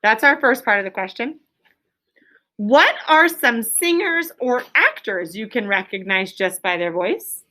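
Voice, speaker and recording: A woman teacher leading an interactive lesson for young children, encouraging them with praise and explaining in simple, deliberate terms. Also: a little high, bright, forceful tone. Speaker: elementary school teacher. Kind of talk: interactive classroom lesson with questions. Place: classroom.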